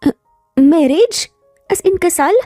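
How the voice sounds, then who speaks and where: A woman's voice: very high voice, lively intonation, slow, mellow, no echo, clean studio sound, faint music behind, voice pushed up, full character voice. A woman voicing a flustered, indignant young housemaid in a romance audio story, with exclamatory, incredulous questions. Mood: startled, incredulous.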